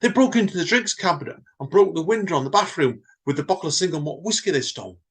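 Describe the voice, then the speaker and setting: Man playing exasperated managing director in a spoken short story; booming, raised pitch, husky edge. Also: expressive, quick pace. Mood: outraged. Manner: booming, indignant. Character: outraged male managing director, loud and exasperated.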